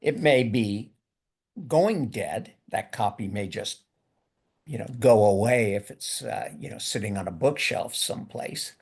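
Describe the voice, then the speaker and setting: A man's voice: fairly low pitch, animated, measured pace, husky. An older man giving a lecture in a loose, conversational way, often repeating words as he thinks. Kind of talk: university lecture with discussion.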